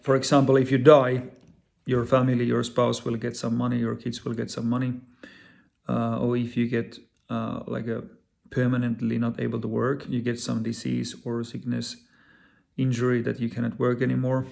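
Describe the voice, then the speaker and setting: A man from Finland speaking English with a Finnish accent, talking straight to his viewers in an earnest, halting way full of fillers and repeated words. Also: medium pitch, vocal fry, a little mellow. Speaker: vlogger. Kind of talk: personal direct-to-camera talk.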